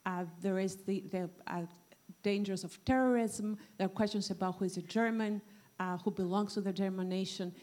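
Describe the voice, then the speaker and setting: A woman from Greece putting a spontaneous question to a panel, speaking haltingly as she works out her point. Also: mid-range voice, fairly even intonation, a little mellow. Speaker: audience questioner at a panel discussion.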